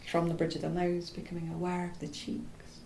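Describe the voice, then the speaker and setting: A woman teaching yoga nidra, leading a guided relaxation in a soft, soothing, unhurried voice that turns breathy at phrase ends and pauses mid-phrase. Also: completely flat delivery. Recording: roomy echo, faint low rumbling noise.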